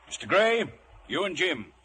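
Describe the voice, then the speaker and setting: An actor playing a brisk, authoritative sea captain in a classic film, with a clipped delivery. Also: male voice, sits high, big pitch swings, sharp tone, a little hiss or hum, exaggerated voice. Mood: commanding.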